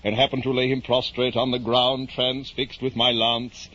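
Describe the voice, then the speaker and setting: An actor playing a deluded, grandiose middle-aged knight-errant, declaiming floridly in the style of 1950s radio drama. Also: male voice, mid-range voice, flat delivery, moderate pace, a bit bright, lightly acted. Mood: lofty, dreamy. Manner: pompous, florid, theatrical declaiming. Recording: muffled, hissy 1950s radio recording.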